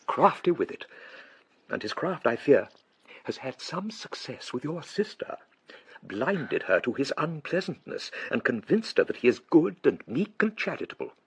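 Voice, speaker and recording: An actor playing a gentle, self-doubting elderly English clergyman in a period radio drama, husky and hesitant, musing courteously. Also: a little high, big pitch swings, moderate pace, heavily acted, poor audio. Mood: troubled, confiding. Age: older.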